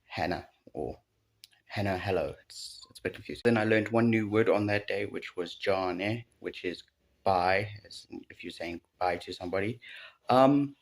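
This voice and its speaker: A man's voice: low voice, moderate pace. A man with a South African accent casually recapping his week of learning, rambling and correcting himself as he goes. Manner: rambling, self-correcting, unsure. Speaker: language learner vlogger.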